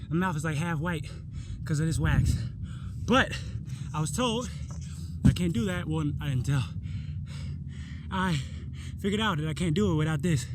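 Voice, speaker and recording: A young man vlogging his travels in a casual, slangy and reflective way, talking straight to the viewer. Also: fairly high pitch. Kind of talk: casual vlog narration. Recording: loud background noise.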